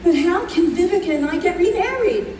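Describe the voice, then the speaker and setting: A woman's voice: very high voice, animated, brisk, a little mellow. A woman playing a sad, bewildered reincarnated soul in an old-time radio play, recorded live in a roomy space. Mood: hesitant, tender. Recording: roomy live stage recording, distant, faint noise.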